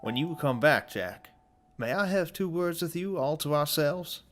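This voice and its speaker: An actor playing a loyal, plain-spoken young gentleman adventurer in an audio drama, frank, folksy and earnest. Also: fairly high pitch, animated, unhurried, soft background music. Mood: quietly serious.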